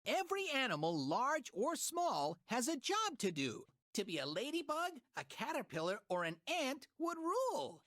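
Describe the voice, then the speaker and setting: A woman's voice: sits high, very animated, moderate pace, hardly any pauses, fairly bright tone, no room sound, studio quality, full character voice. A woman voicing a peppy, sporty cartoon child, speaking enthusiastic sing-song rhymes for a preschool game. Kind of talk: scripted rhyming children's game narration.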